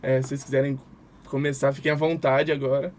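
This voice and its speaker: A man's voice: mid-range voice, level tone, fairly quick, slight background noise. A man co-hosting a podcast, upbeat and eager, using casual slang as he welcomes guests. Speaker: podcast co-host, marketing analyst.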